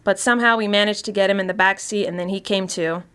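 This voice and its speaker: Chatty woman confessing dark deeds, acted out. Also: medium pitch, fairly even intonation, fast talker, smooth, lightly acted. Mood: confiding, uneasy. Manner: conversational, confiding storytelling. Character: chatty, darkly gleeful woman confessing to killings. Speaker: actor reading a short story. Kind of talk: dramatic first-person mystery story narration.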